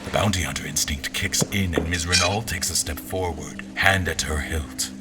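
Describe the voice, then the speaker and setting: An actor playing a troubled, desperate general in an audio drama, speaking in a halting, grave, intense manner. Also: male voice, deep voice, steady tone. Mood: tense, hushed narration. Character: troubled, desperate newly-appointed general haunted by dreams. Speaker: actor narrating in first person and playing the lead. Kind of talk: dramatic audio fiction acting. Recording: loud music under the voice.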